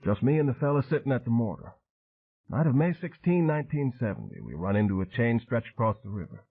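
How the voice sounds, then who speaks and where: A man voicing a gruff male Vietnam veteran boatman, speaking in clipped, broken phrases on a tinny phone-like recording. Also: mid-range voice, sing-song, fast talker, pitched above the performer's own voice. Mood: terse, knowing. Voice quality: clipped broken grammar, laconic.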